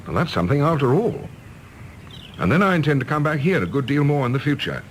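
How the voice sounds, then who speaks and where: A man's voice: mid-range voice, big pitch swings, fast, a little hiss or hum, natural voice. An actor playing an earnest, wistful middle-aged English man with idealistic hopes, in a radio play. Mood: determined.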